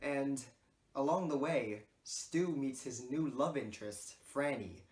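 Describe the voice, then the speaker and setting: Guy narrating a school project, mid pitch voice, measured explaining, echoey room. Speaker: presenter.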